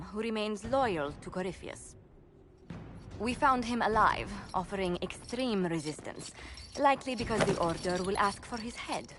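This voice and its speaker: An actress playing a poised court adviser in a video game, speaking in a formal, measured and diplomatic way. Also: female voice, medium pitch, a bit bright, slight background noise, close to an everyday voice. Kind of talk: video game dialogue acting.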